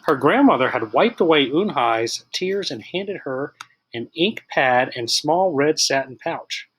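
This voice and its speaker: A man reading a children's picture book aloud as scripted narration, in an expressive manner. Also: fairly high pitch, a little slow, slightly rough, rough recording. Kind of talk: children's storybook read-aloud.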